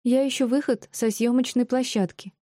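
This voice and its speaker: A woman voicing an indignant, bewildered young woman in an audiobook, exclaiming and quick to snap. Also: fairly high pitch, steady tone, dark tone, no echo, clean studio sound, pitched above the performer's own voice, close to an everyday voice. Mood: confused, excited. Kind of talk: audiobook character acting.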